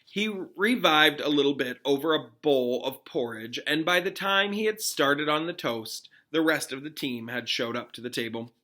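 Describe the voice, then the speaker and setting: A man's voice: fairly high pitch, expressive, normal speed, hardly any pauses, pushed and bright. A man who is a classroom teacher, reading a storybook aloud expressively with casual, informal asides to pupils.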